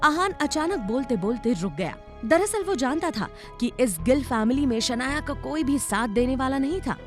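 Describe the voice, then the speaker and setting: High-pitched, animated woman narrator. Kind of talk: dramatic scripted story narration.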